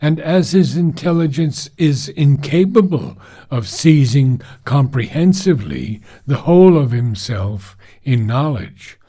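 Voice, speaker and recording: A man reading formal philosophical prose aloud in a deliberate, measured way, pausing between short phrases. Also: mid-range voice, warm tone, slightly rough. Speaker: reader of a philosophical book.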